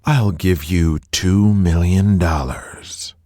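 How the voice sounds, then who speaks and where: A man plays a scheming wrestling promoter in an audio drama, calm, menacing and deliberate. His voice is very low and husky, unhurried, and recorded dry and close. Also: no room sound. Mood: coldly commanding.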